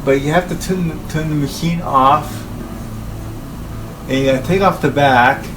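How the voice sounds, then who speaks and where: A man giving an informal, halting talk about equipment as a hobbyist presenter, speaking in short phrases. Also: fairly high pitch, background noise. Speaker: hobbyist presenter showing his tape machines.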